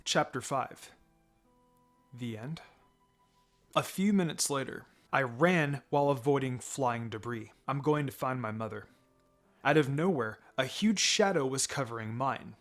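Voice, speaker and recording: A man reading fan fiction as a dramatic first-person narrator, with halting pauses and background music. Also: medium pitch, a bit bright. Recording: music behind the voice.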